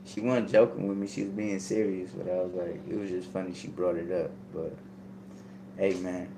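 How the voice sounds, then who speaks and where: A man's voice: low voice, little pitch movement, normal speed, creaky, noisy background. A man talking casually as a guest, laid-back and rambling with lots of fillers. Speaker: podcast guest. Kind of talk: casual storytelling.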